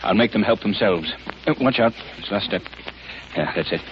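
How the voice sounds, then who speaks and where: Guy playing earnest gentleman hero, lowish voice, muffled old 30s radio serial. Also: lively intonation, brisk, lightly acted. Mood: attentive, earnest. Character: resolute, courteous gentleman adventurer, adult. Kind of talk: 1930s radio drama acting. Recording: muffled 1930s radio recording with hiss.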